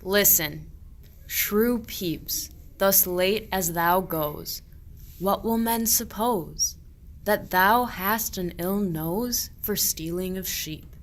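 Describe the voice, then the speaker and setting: A woman's voice: medium pitch, drawn-out words. A woman playing a suspicious, mocking and weary shepherd in a medieval radio play, declaiming archaic verse.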